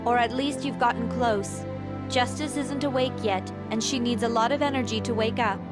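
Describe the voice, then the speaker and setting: Coy female sorceress, a woman acting: fairly high voice, superior, prodding, game scene under loud music. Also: steady tone, normal speed, lightly acted. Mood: knowing. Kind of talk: English video game voice acting.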